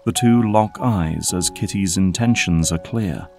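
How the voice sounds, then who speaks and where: A man's voice: low-pitched, a little slow, gravelly, a little mellow, faint music behind. A man delivering scripted dramatic narration as the narrator of an audio drama.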